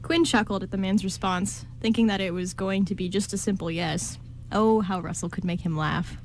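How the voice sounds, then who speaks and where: A woman's voice: medium pitch, steady tone, moderate pace. A woman reading scripted story narration for an amateur radio play. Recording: clearly noisy amateur recording.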